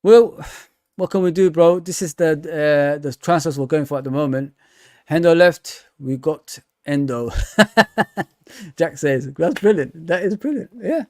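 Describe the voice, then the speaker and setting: A man's voice: a little high, unhurried, darkish tone, no room sound, studio quality. A man hosting a casual fan livestream, laughing often and reading viewer comments aloud.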